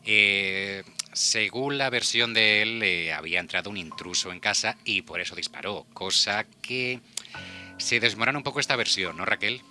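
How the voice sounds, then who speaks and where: A man with a deep voice and a very bright, forceful edge hosts a true-crime radio talk. He speaks conversationally with an accent from Spain, over background music. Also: normal speed. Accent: Spain Spanish. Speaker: radio show host. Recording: music under the voice.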